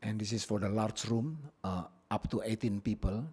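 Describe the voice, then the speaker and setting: A man originally from Indonesia presenting his business plan at a public hearing, speaking plainly with frequent pauses and fillers into a podium microphone in a council chamber. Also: lowish voice, fairly even intonation, warm tone, breathy edge.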